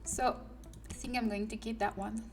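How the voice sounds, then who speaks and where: A woman's voice: on the high side, level tone, moderate pace. A woman from France with a French accent, chatting casually on a livestream while she works, often muttering to herself or dropping to a whisper. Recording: distant mic with music behind.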